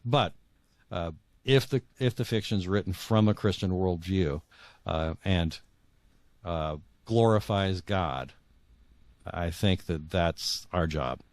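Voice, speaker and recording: A middle-aged man answering as a guest author in a conversational interview, earnest and halting, often repeating words as he thinks. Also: deep voice, no room sound.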